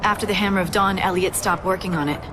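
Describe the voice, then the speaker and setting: An actress playing an earnest, bold young military cadet in a video game cutscene. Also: female voice, mid-range voice, steady tone, fast talker, background noise, lightly acted. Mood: serious.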